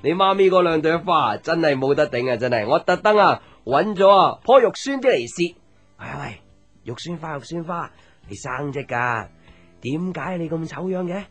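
A higher-pitched, playful, teasing young man. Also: moderate pace. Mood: playful, mischievous. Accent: Hong Kong Cantonese. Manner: teasing, joking, animated with exclamations. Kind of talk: radio drama acting, light conversational. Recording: music under the voice.

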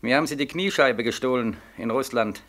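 An actor in a 1940s German radio play voicing a bitter, despairing young soldier home from war, sarcastic and emphatic. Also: male voice, mid-range voice, fairly quick, fairly bright tone, lightly acted. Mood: sullen, weary. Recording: vintage 1940s radio studio recording.

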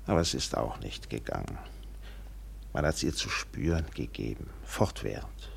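An actor playing a steamship captain who tells a colonial tale expressively in a German radio play, his voice husky and gravelly. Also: very deep voice, exaggerated voice. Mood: resigned. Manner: expressive, measured literary storytelling with acted dialogue.

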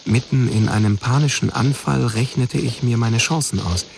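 A man's voice: mid-range voice, normal speed, gravelly, background noise, lightly acted. An actor playing a man who narrates his troubled youth in a terse, matter-of-fact way for a radio drama. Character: male narrator plainly recounting his troubled teenage years. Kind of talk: scripted first-person narration, radio drama.

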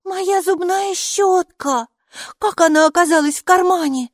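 A woman voicing a lively, stubborn, inquisitive little girl in a children's audiobook, speaking emphatically. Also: very high voice, a little slow, no room sound, studio quality, pitched above the performer's own voice. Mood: amazed. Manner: emphatic, excitable, matter-of-fact.

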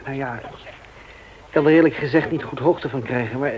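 Earnest man acted with a medium-pitched voice. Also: brisk, highs cut off, lightly acted. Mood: hesitant, earnest. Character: hesitant, earnest male family friend. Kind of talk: radio play acting.

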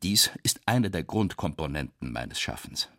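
Deep-voiced, impassioned, embattled writer. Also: male voice, very animated, normal speed, a bit bright, no echo, studio quality, heavily acted. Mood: grave, defiant. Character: embattled male writer reading his defiant letter of protest. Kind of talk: dramatic reading of a letter, radio documentary drama.

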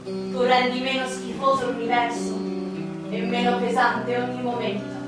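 An actress playing a solemn poetic figure, reciting verse in a lyrical, declamatory theatrical style. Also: female voice, fairly high pitch, level tone, moderate pace, lightly acted. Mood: lyrical, intense. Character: solemn poetic woman reciting a dark hymn to beauty. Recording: echoey stage, distant, loud guitar music.

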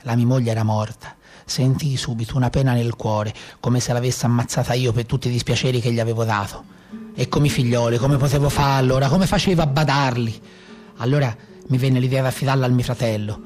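A man with a Tuscan accent playing a rough peasant brigand of the Maremma in a theatrical storytelling monologue. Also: mid-range voice, steady tone, a little fast, a little mellow, close to an everyday voice. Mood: sorrowful. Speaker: stage actor. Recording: live guitar music behind.

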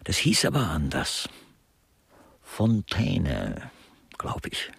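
An elderly male aesthete in a radio crime grotesque. His voice is low, breathy and heavily husky, and he takes his time. He muses with affected, cynical relish, like an old gossip who savours each phrase. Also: huge pitch swings, drawn-out words, a bit bright, no room sound, heavily acted. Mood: wry, musing. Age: older. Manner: affected, musing, theatrically relishing words. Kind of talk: German crime grotesque radio play dialogue.

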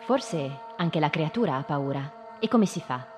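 An inquisitive woman in a radio play, with a fairly low voice. She probes gently with short questions. Her words ring in a reverberant hall, with faint music behind. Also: expressive, a little slow. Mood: probing. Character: questioning woman, gently probing children about a frightening creature. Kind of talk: live radio drama dialogue.

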